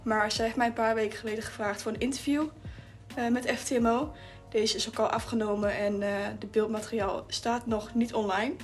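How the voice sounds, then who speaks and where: A woman's voice: on the high side, fairly even intonation, brisk, faint music behind. A young woman from the Netherlands with a Dutch accent, chatting casually as a video host. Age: young adult. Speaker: YouTube host and trader.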